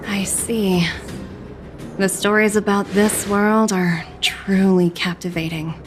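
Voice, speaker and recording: A woman's voice: medium pitch, flat delivery, slow pace, soft background music, lightly acted. A woman playing a confident, shrewd young corporate agent in a video game, composed, wry and thoughtful. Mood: wry, amused. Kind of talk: video game cutscene acting.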